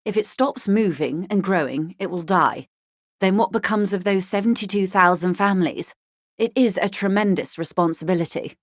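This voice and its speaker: An actress playing a shrewd, genteel corporate negotiator in a video game, speaking in a refined, articulate and dryly understated manner. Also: female voice, on the low side, no room sound, clean studio sound, natural voice. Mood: grave, composed. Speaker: video game character voice actor. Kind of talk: video game dialogue, measured conversational delivery.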